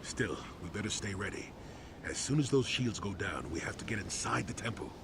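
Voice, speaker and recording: Wry wary soldier, low gravelly voice, game acting, loud rumbling noise. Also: male voice, animated, a little fast. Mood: wry, ready.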